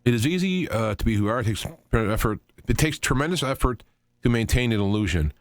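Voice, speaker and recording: A man hosting a talk radio show solo, speaking off the cuff in a halting, rambling way, often repeating and restarting phrases. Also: mid-range voice, lively intonation, dry recording.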